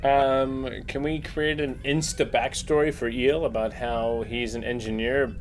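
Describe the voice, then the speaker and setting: A woman's voice: a bass voice, steady tone, a little slow, rough recording. Woman gaming with friends, playful casual chat, bit of noise behind her. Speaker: player in a tabletop role-playing game. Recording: faint background noise.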